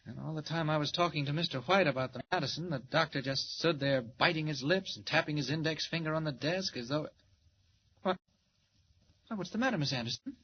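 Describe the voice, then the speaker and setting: An actor playing an earnest, courteous young newspaper reporter in 1940s radio drama style. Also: male voice, fairly high pitch, fairly quick, natural voice. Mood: puzzled. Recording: muffled, noisy 1940s radio recording.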